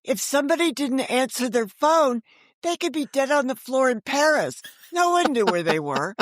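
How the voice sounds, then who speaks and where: An American woman in her sixties telling stories conversationally as an interview guest, animated and emphatic, jumping between thoughts. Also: sits high, moderate pace. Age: 60s. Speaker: guest, author and audio drama creator.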